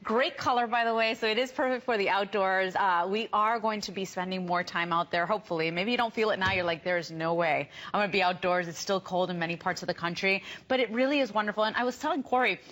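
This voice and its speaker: A woman's voice: medium pitch, fast talker, hardly any pauses, fairly bright tone. A woman hosting a live TV shopping segment, selling in a chatty, personal and approving conversational way. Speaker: home shopping host. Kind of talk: conversational live TV sales pitch.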